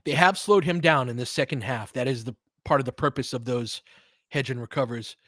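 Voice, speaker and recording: A man's voice: mid-range voice, fairly quick, fairly bright tone, no echo, studio quality. A man doing casual, conversational live basketball commentary as a play-by-play host, breaking down the action like an analytical fan and chatting with listeners. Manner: conversational, analytical fan talk with mild slang.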